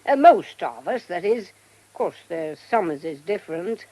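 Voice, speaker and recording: An actress playing an old, friendly, gossipy village shopkeeper in a radio drama, rambling and folksy in a rural southern English accent. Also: female voice, fairly low pitch, big pitch swings, unhurried, mellow. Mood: gossipy, amused. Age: older.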